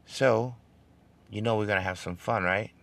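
A middle-aged man with a smooth voice hosting a political podcast, talking straight to his listeners and building into an emphatic, preacher-like cadence. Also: on the low side, steady tone, normal speed, a bit bright. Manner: addresses listeners directly, seeks call-and-response. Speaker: podcast host. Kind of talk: casual political podcast monologue.

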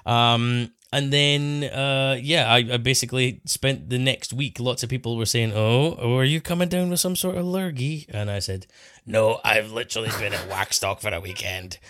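English male co-host, animated, wry storyteller, medium pitch, dry close-miked recording. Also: moderate pace, dry recording. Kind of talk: casual podcast chat.

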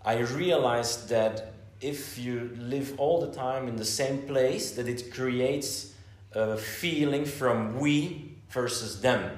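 A man with a Flemish Belgian accent talks at a middling pitch, easygoing and chatty, often doubling back on his words. His first language is Dutch, and the room gives his voice a noticeable echo. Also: takes it slowly. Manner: chatty, with many stuttered restarts. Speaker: guest. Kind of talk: casual conversation. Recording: roomy echo.

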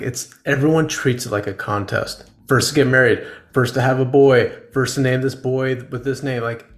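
A man in his forties hosting a casual podcast interview, playful and encouraging as he asks questions. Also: medium pitch. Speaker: podcast host. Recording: roomy echo with faint music.

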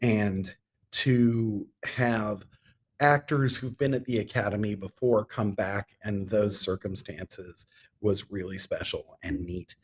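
Husky middle-aged man, enthusiastic and articulate. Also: fairly low pitch, steady tone, slow. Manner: articulate, enthusiastic, with ornate turns of phrase. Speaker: theatre artistic director, interview guest. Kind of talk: conversational podcast interview.

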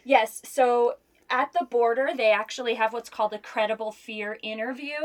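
A woman's voice: a little high, steady tone, normal speed. A woman with an American accent speaking as an interview guest, explaining things earnestly and emphatically in a conversational storytelling way.

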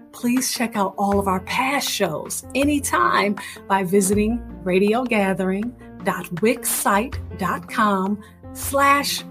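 A woman hosting a radio show, introducing it in an emphatic, enthusiastic way. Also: mid-range voice. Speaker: host. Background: music behind the voice.

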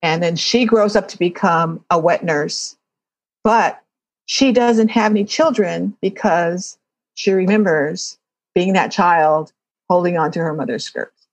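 A woman's voice: lowish voice, a little slow, dry recording. A woman writer being interviewed, talking reflectively about her own work in conversation.

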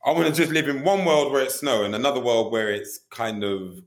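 Candid, animated male music video director. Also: medium pitch, brisk. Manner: animated, candid, swears casually; fillers like "do you know what I mean". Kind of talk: casual interview storytelling.

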